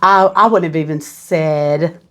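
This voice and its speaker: A woman's voice: deep voice, a little slow, fairly bright tone. A young woman from southeastern Kentucky with a Kentucky accent, a guest on a podcast who talks casually with lots of "you know what I'm saying". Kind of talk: casual interview.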